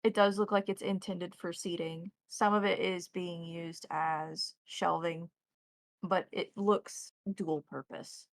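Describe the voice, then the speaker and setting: A woman narrating a tabletop roleplaying game as game master, setting scenes in a descriptive, measured way. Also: lowish voice, fairly even intonation, no room sound.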